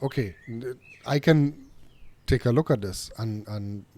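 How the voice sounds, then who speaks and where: A man's voice: medium pitch, a little slow, darkish tone. Earnest man hosting a dog podcast. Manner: earnest, opinionated, many restarts. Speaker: podcast host, dog trainer. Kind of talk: casual interview chat.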